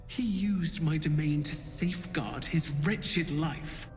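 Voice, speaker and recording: Dark goddess for a game: commanding, coldly approving, grandiose, unhurried, cavernous echo. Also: female voice, low voice. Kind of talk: dramatic video game acting. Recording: echoing hall reverb, music behind.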